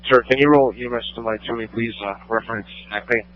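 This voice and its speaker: A man's voice: mid-range voice, steady tone, fast talker. A man, a police officer, speaking in clipped phrases over a police radio on lo-fi scanner audio. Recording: dull lo-fi scanner audio, humming static.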